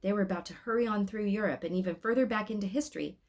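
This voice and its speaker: A woman's voice: medium pitch, quick pace, a little mellow. Woman reading a story aloud. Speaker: storybook reader, host. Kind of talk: expressive read-aloud narration with casual asides.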